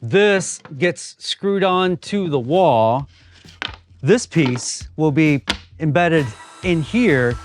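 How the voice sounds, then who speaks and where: A man's voice: a little high, expressive, very slow, background noise. A man hosting a woodworking vlog, explaining things in an informal, plain-spoken way. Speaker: host of a woodworking channel. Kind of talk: casual workshop vlog narration.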